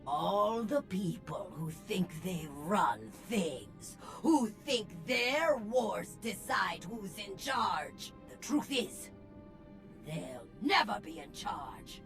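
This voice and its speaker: An actress playing a bold, charismatic female gang leader in a video game, with a declamatory, deliberate, rallying delivery. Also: mid-range voice, sing-song, a bit bright, faint music behind, full character voice. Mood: defiant, assured. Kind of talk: theatrical video game speech.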